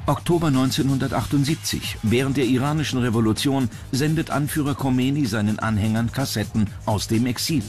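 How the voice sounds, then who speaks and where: A man's voice: mid-range voice, a little fast, hardly any pauses, darkish tone. A man with a standard German accent reading scripted documentary narration. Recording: music bed behind narration. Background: music.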